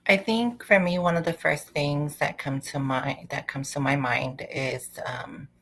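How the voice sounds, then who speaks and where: Low gravelly woman. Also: fairly even intonation, unhurried, a bit bright, slight background noise. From Samoa. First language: Samoan. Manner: thoughtful, rambling, many "like" fillers. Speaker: panelist. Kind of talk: panel discussion.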